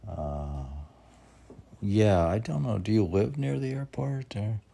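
Male poet, slow pace, swinging intonation, meandering and musing, reading with rambling asides. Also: on the low side, lively intonation, gravelly, dark tone. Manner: musing, meandering, talking to himself. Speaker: poet reading own work.

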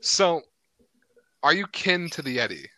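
A man's voice: on the high side, sing-song, a little slow, sharp tone, no room sound, poor audio. A male podcast co-host, joking and teasing his guest in a casual interview and peppering his talk with "like.".

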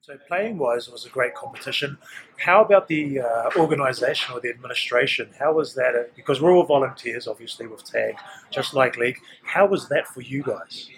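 A man's voice: mid-range voice, fairly quick, fairly bright tone, rough recording. A male co-host with a mid-range voice asks questions and sums up the interview in an upbeat way. There is a faint hum of noise behind him.

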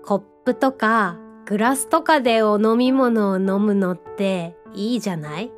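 A woman voicing a friendly, contented talking cat who runs a drink stall in an audio drama, speaking gently and politely with a playful touch. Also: mid-range voice, moderate pace, faint music behind, natural voice. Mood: earnest, happy. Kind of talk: Japanese audio drama acting.

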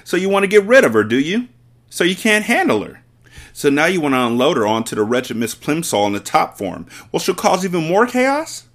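A man reading aloud and voicing a huge, bullying headmistress who barks and shouts with contempt. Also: a little high, very animated, fairly quick, pitched above the performer's own voice. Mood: bellowing scornful. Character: bullying hulking female headmistress, furious.

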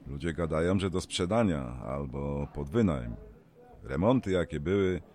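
A man's voice: very deep voice, lively intonation, normal speed, a little mellow. An actor playing a gossipy, awkward elderly male neighbour, rambling and hesitant in plain folksy talk, in a radio drama. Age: older. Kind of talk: naturalistic radio drama acting.

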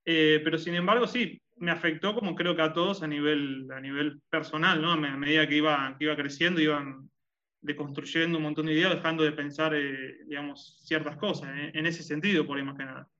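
A man's voice: fairly high pitch, fairly even intonation, brisk, bright, forceful tone, dull audio. A man with a Rioplatense accent, a guest in a conversational interview, talking with many fillers, restarts and hesitations. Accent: Rioplatense Spanish. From Argentina and Uruguay.

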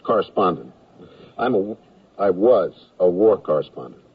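An actor playing a wry, weary war correspondent drafted into the Army, with dry deadpan delivery in a radio comedy drama. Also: male voice, deep voice, normal speed, husky, heavily acted. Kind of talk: 1970s radio comedy drama acting and narration. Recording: dull lo-fi 1970s radio broadcast, music behind.

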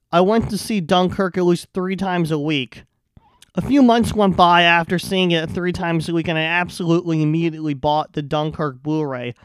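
A man's voice: fairly high pitch, clear, resonant tone, dry recording. A man hosting a solo podcast, talking casually and stumbling through stories in a rambling way. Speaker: podcast host and stand-up comedian.

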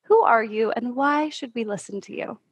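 Woman, podcast host, enthusiastic, laughs often, fairly high voice. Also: normal speed, slightly rough. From Canada. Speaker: podcast host and life coach. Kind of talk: podcast interview.

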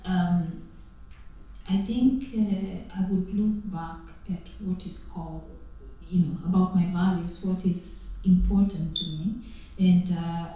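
Brief: woman, podcast guest, earnest and reflective, very mellow tone, roomy far-off sound. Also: lowish voice. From Kenya. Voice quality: earnest, reflective, talks in short phrases with many "uh". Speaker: guest, storyteller and content creator. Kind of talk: conversational podcast interview. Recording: very echoey room, far from the mic.

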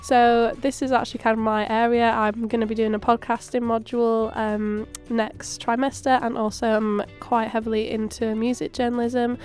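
A woman's voice: fairly high pitch, level tone, normal speed, few pauses, a little mellow, faint music behind. Nasal young woman from Doncaster. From Doncaster, England. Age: young adult. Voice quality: nasal from a cold. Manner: chatty, asks questions. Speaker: podcast co-host. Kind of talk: casual chat.

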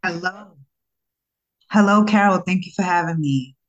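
Low-voiced, hesitant woman chatting informally. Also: moderate pace, lo-fi sound. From born in Ghana, raised in Harlem, New York. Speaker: interview guest, publisher. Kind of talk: casual conversational interview answers, personal storytelling.

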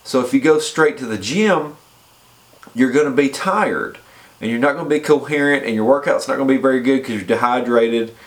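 A man's voice: mid-range voice, a little fast. A man with a head cold, sounding congested, giving casual fitness advice in a chatty way and often checking in with the listener. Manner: chatty, repeats points and checks in with "okay?". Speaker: fitness vlogger. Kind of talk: casual advice talk to camera.

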